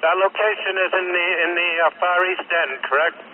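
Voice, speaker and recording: A man's voice: sits high, fairly even intonation, a little fast. A man working as a firefighter, giving terse emergency radio reports over a two-way radio. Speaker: firefighters on responding apparatus. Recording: very noisy, tinny scanner radio.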